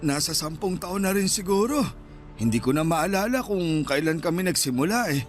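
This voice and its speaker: A man plays a small, thin old Filipino street vendor in a radio drama. His voice is notably high, and he speaks in a shy, plain, homely way over faint music. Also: lively intonation, normal speed, lightly acted. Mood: reflective.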